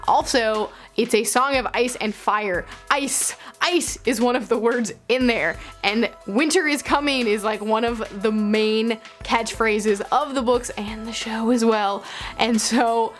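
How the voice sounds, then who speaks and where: Young woman vlogger, highish voice, chatting casually w playful asides, music playing behind her. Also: a little slow. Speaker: book vlog host. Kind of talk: casual chatty recommendations to camera.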